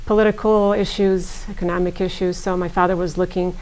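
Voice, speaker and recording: A middle-aged woman from Serbia, whose first language is Serbian, answering interview questions in a measured way with frequent pauses. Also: fairly low pitch, flat delivery, a little mellow, poor audio. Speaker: guest, pharmacist.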